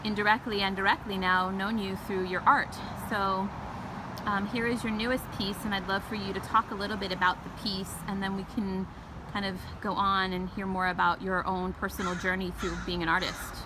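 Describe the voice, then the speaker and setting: A young woman hosting a casual interview, speaking earnestly and warmly, with many "um"s and "really"s. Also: mid-range voice, level tone, moderate pace. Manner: earnest, gushing, many fillers. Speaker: interviewer, host. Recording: clear street and vehicle noise.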